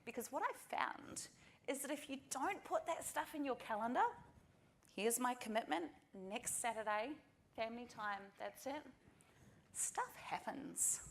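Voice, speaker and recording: A woman's voice: a little high, animated, unhurried. A middle-aged woman from New Zealand with a New Zealand accent, giving a practical, earnest conference talk straight to the audience. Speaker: conference speaker. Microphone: presentation mic.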